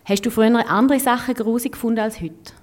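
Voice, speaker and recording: A woman's voice: a little high, fast. A woman with a Swiss German accent, hosting a podcast interview in a casual, chatty style. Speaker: podcast host.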